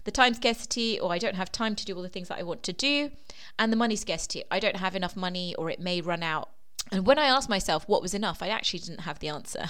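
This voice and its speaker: Croaky-voiced woman coaching podcast host. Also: mid-range voice, fairly bright tone. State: slightly croaky from a sore throat. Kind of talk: solo podcast monologue.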